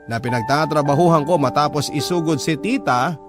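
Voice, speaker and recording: A man's voice: a little high, fairly quick, close to an everyday voice. A man with a Filipino accent playing a sincere boyfriend, narrating his love story confidingly in a scripted radio drama. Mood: earnest confiding. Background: music under the voice.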